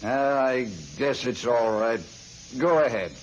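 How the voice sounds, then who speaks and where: A wary, gruff American man, played by a radio actor who speaks in terse slang at a slow pace. It is 1940s radio crime drama, muffled with clearly audible noise. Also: mid-range voice, natural voice. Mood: grudging. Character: gruff, suspicious, slangy male flat dweller.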